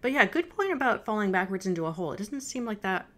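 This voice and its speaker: A woman's voice: medium pitch, sing-song, quick pace. A woman in her thirties giving casual, off-the-cuff reaction commentary at home. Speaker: reaction video host. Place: indoor room at home.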